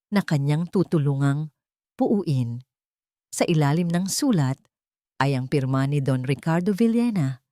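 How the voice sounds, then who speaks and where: A woman narrating a scripted audio drama in a measured, sentimental storytelling manner. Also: deep voice, darkish tone, no room sound, clean studio sound.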